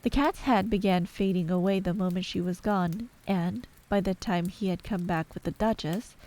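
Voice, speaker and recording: A woman's voice: mid-range voice, normal speed, a little mellow, rough recording. A woman reading scripted bedtime storybook narration in an ASMR style.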